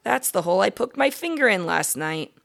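Woman reading as a friendly, slightly bossy storybook boy, creaky. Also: medium pitch, animated, a little fast, fairly bright tone. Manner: frank, scolding but good-natured.

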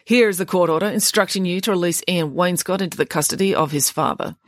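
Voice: mid-range voice, fast talker, dry recording, studio quality, voice pushed up, close to an everyday voice. A reader voicing a dull, grey, formal man working as a lawyer.

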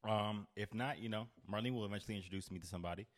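Man, late twenties, lowish voice, quick pace, playful teasing, podcast banter. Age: late 20s. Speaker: podcast guest. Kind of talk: casual banter.

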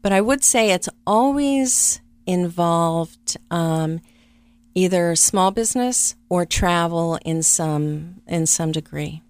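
Middle-aged woman, low smooth voice, soft-spoken guest who pauses to think, close dry sound. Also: no room sound. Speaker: interview guest, travel business owner. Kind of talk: conversational interview.

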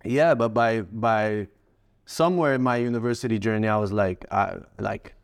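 A man in his thirties from Morocco, a guest trader answering in a relaxed, rambling way. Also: lowish voice, normal speed, creaky, clean tone. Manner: relaxed, rambling, frequent fillers and restarts. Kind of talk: casual interview answers.

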